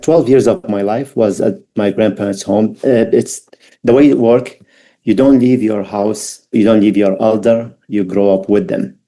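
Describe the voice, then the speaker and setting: Mellow middle-aged man with an Iraqi accent. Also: on the low side, steady tone. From Baghdad, Iraq. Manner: halting, reflective, non-native English phrasing. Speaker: podcast guest. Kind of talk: personal storytelling interview.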